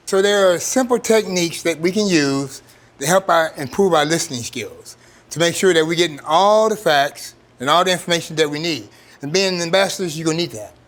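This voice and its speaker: Man, slightly high voice, workshop trainer, plain-spoken, informal, repeats key phrases. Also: expressive, brisk, a bit bright. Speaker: trainer, program coordinator.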